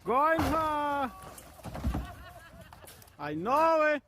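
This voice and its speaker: A man's voice: very high voice, sing-song, extremely slow, a bit bright. A man making short exclamations in a video clip, with faint noise and clicks on the recording.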